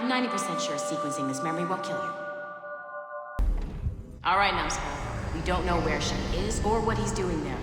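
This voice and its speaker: Woman as a sardonic, low-voiced technician in echo. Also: close to an everyday voice. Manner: casual, sardonic, bossy. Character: brash, sardonic female technician bossing a test subject. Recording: very echoey hall, music behind.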